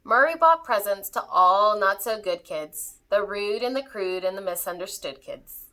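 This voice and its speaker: Very animated, bright-voiced woman storyteller. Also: medium pitch, normal speed. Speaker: read-aloud storyteller. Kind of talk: expressive rhyming children's story reading.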